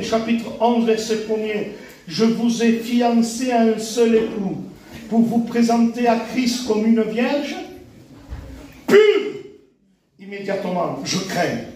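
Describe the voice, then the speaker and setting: A man with a very high voice preaches a sermon, hammering phrases over and over and dropping from loud cries to hushed asides. His voice rings through a big echoing hall, picked up from well back from the mic. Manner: emphatic, repeats phrases, swings from shouts to hushed asides. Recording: very echoey hall, mic from far off.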